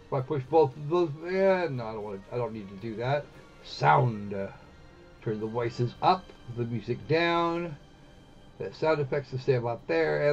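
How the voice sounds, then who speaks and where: A man hosting a casual game live stream, talking in a rambling, halting way and repeating himself as he goes. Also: mid-range voice, a little mellow. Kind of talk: casual live-stream commentary while setting up a visual novel. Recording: dull lo-fi mic with music behind. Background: background music.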